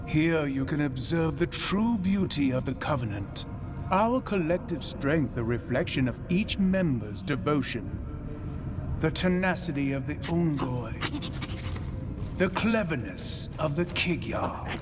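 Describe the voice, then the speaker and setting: An actor playing a haughty, zealous alien leader, monologuing grandly in a sci-fi game cutscene. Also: male voice, on the high side, lively intonation, music in the background. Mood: smug, preaching. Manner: pompous, grandiose, deliberate monologuing. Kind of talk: theatrical villain acting in a sci-fi game cutscene. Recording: loud cinematic game score behind.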